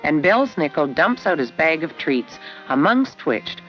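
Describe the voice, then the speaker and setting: A narrator reading a scripted 1970s educational film in a measured storytelling delivery. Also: mid-range voice, very animated. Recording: dull lo-fi 1970s film soundtrack. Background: guitar music.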